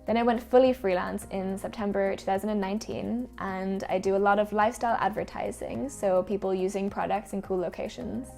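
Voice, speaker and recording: A woman's voice: medium pitch, steady tone, a little fast, creaky, hardly any pauses, darkish tone, faint music behind. A woman of around thirty with an Irish accent, narrating a personal vlog about her own life and work. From Ireland. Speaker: freelance photographer presenting a vlog.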